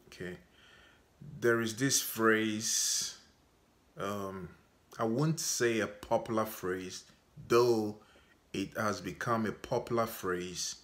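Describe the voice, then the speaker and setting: A Ghanaian man speaks slowly and deliberately, in a fairly low voice. He talks straight to his viewers and keeps checking in with them as he goes. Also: a bit bright. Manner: measured, repeats "okay?" to check in with viewers. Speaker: vlogger. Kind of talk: unscripted talk to camera.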